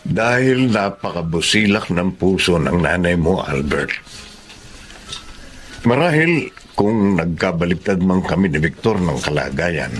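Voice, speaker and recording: An actor playing a kind, steady middle-aged stepfather and husband in a Filipino radio drama. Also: sits low, slight background noise.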